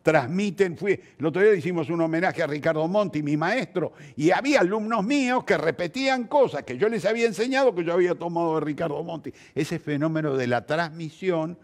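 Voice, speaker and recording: A man's voice: on the high side, fairly quick, few pauses. An older man with an Argentine Spanish accent reflecting in an interview, repeating and rephrasing for emphasis. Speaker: interviewed theatre director and teacher.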